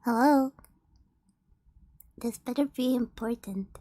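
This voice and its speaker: A woman's voice: a little high, takes it slowly, warm tone, no room sound. A young woman streams and reads fanfiction aloud in a giggly, flustered way, with frequent laughs. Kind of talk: casual stream chat and read-aloud.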